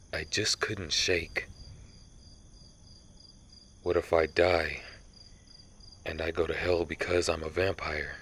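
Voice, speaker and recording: Man playing a deep, brooding vampire hunter. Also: a bit bright, breathy edge, exaggerated voice. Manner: slow, somber, halting confession. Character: centuries-old male vampire hunter, brooding, guilt-ridden, resolute. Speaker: voice actor in audio roleplay. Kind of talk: ASMR audio roleplay monologue.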